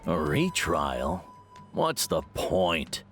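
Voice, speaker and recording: A flippant young male slacker in an anime game. He sounds breezy and dismissive, with a lively, medium-pitched voice. Faint music is underneath. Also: very slow, heavily acted. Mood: flippant, resigned. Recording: music playing behind.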